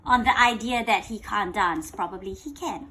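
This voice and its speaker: A woman reading a picture book aloud to a child in a playful, expressive way, drawing out words and asking questions. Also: medium pitch, normal speed. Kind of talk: animated bedtime read-aloud with questions to a child.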